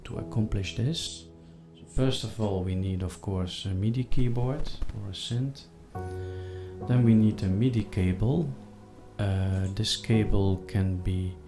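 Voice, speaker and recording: A man hosting a step-by-step software tutorial in a deliberate, instructional manner. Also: lowish voice. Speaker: tutorial host.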